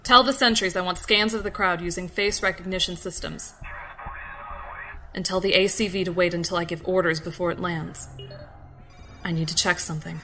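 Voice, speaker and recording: A woman's voice: on the low side, steady tone, moderate pace, pauses often, sharp tone, soft background music. A woman playing a stern, commanding fleet security captain in an audio drama, clipped and authoritative. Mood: commanding, suspicious.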